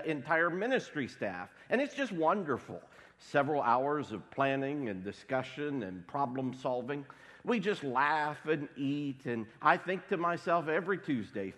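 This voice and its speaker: A man preaching a sermon as pastor, congested from a cold, with a wry, deadpan humor. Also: on the high side. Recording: long hall reverb.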